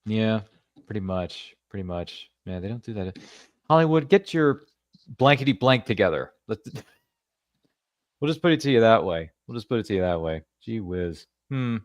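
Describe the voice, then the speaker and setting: A man hosting a casual podcast chat, his voice sitting on the low side. He rambles along with playful asides. The sound is dry and close. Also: expressive, moderate pace, dry recording. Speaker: podcast host.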